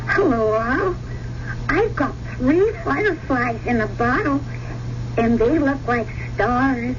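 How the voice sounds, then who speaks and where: A woman playing an innocent, imaginative seven-year-old boy with halting, childlike speech in a 1970s radio drama. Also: sits high, animated. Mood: innocent, earnest. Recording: dull, noisy old radio recording.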